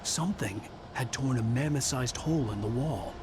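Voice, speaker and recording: An actor playing a brooding writer who narrates his own nightmare in grave, measured first-person storytelling. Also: medium pitch, noisy background, natural voice. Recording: game music behind.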